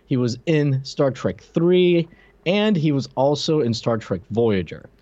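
A man's voice: mid-range voice, animated, unhurried, warm tone, dry recording, muffled recording. A man co-hosting a casual pop-culture podcast, talking in a hesitant, rambling way with joking asides. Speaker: podcast co-host.

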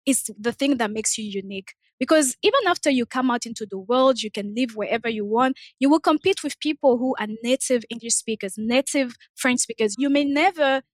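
A young woman tells a personal story in a fairly high voice. The sound is close and dry, and she casually voices the people she quotes. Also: dry recording. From Democratic Republic of the Congo. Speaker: panel discussion participant.

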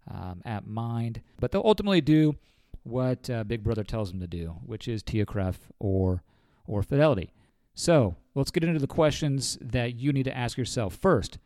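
A man's voice: mid-range voice, warm tone, dry recording, clean studio sound. A man in his forties with a cold, a financial advisor explaining retirement savings on a podcast in a folksy way, often calling listeners folks. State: has a cold.

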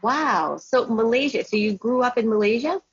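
A woman's voice: a little high, animated, normal speed, breathy edge, no echo, muffled recording. A woman hosting her own podcast, upbeat and chatty, promoting her work and interviewing a guest. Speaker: podcast host. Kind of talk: casual interview and self-promotion.

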